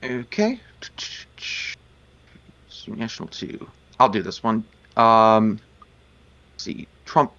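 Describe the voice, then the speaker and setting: A man's voice: on the low side, very animated, slow pace, long pauses, fairly bright tone, lo-fi sound. A man co-hosting a news show, earnest and repeating words as he builds a point. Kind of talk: casual news commentary.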